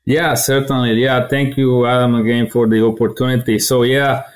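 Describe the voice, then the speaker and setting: A middle-aged man from Guatemala, answering interview questions as a guest in a halting way with many fillers and restarts. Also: medium pitch, flat delivery, smooth. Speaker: podcast guest, feed industry director.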